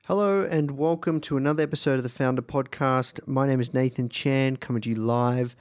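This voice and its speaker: Australian man, podcast host, croaky hoarse voice, mid pitch, upbeat chatter with fillers. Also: fairly even intonation, a little mellow. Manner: upbeat, fast, filler-heavy with many "uh"s. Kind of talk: casual podcast intro monologue.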